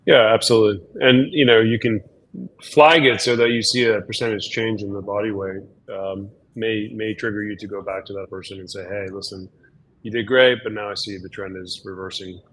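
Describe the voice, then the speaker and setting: A slightly hoarse man, a doctor, explaining things in an easygoing way with lots of fillers during a casual webinar chat. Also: on the low side, fairly even intonation, brisk, a bit bright, low-quality recording.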